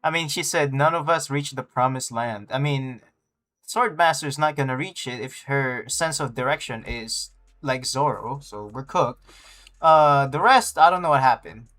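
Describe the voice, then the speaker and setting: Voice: medium pitch, moderate pace, a bit bright. A livestreamer giving casual, slangy gaming commentary and reacting aloud to the story. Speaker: game livestreamer. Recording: game music behind the voice. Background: game music.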